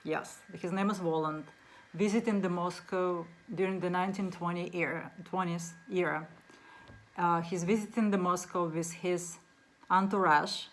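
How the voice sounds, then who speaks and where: Russian accent lady, kinda low voice, chatting about a book with lots of ums, faint hiss behind. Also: steady tone. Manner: unhurried, with many ums and uhs. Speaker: book reviewer. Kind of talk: casual book talk to camera.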